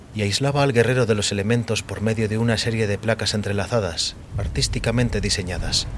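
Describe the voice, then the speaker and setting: A man narrating scripted audio fiction with a solemn, literary delivery. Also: lowish voice. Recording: clearly noisy, rain behind voice. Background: rain.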